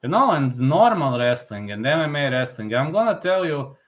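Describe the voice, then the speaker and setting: A man's voice: a little high, big pitch swings, moderate pace. A man with a Serbian accent, a native Serbian speaker, chatting casually on a livestream, answering viewers with opinionated, lecturing talk and frequent hesitations. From Balkans. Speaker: MMA analyst streamer.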